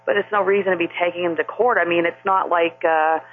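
A woman's voice: lowish voice, fairly even intonation, fast. A woman calling in to a talk radio show, rambling and indignant with many fillers. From Maine. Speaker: caller. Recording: phone line, husky.

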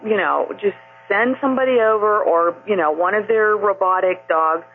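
A woman's voice: medium pitch, moderate pace, a little hiss or hum. A woman calling in to a talk radio show, rambling and indignant with many fillers. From Maine. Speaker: caller. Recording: phone line, husky.

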